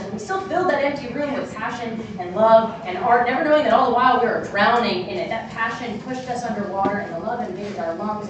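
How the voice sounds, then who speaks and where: A woman performing spoken word poetry live, lyrical and building intensity through repeated phrases. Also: medium pitch. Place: art gallery, indoors, live audience. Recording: echoey hall, distant and noisy.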